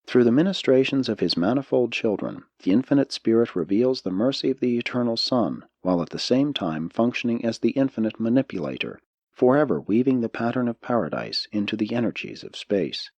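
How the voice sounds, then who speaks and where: A man reading a spiritual text as an audiobook narrator, in measured, deliberate phrasing. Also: medium pitch, lively intonation, darkish tone, no room sound, muffled recording.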